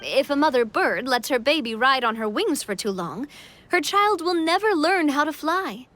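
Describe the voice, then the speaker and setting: Young fantasy game monarch, female: high register, kind, composed, earnest, faint background noise. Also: animated, a bit bright. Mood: gentle, earnest. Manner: earnest, reassuring, measured speech. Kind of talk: English-dubbed game cutscene acting.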